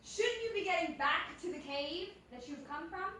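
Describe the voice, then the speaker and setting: Sharp, bright, sarcastic actress. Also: female voice, extremely high pitch, expressive, moderate pace. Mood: indignant, sarcastic. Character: indignant, sarcastic veteran radio actress, sharp-tongued. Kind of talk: theatrical radio play comedy on stage. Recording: very echoey, distant stage, faint noise.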